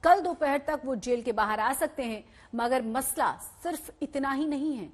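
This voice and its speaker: A woman's voice: sits high, normal speed. A woman presenting the news as an anchor in a scripted style.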